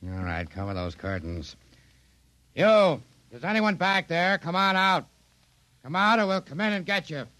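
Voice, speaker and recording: A man's voice: high-pitched, sing-song, moderate pace, sharp tone, no room sound, heavily acted. A man playing a skeptical, middle-aged police sergeant in a 1950s radio drama, with blunt, colloquial tough-cop talk. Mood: gruff, skeptical. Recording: vintage radio drama, faint music.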